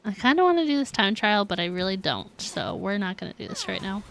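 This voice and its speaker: A woman's voice: mid-range voice, sing-song, a little fast, vocal fry, a bit bright, slight background noise, poor audio. A woman chatting casually on a game live stream, answering viewers and sometimes mumbling her words. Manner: mumbles at times, reads and answers chat. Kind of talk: casual live-stream chat while gaming.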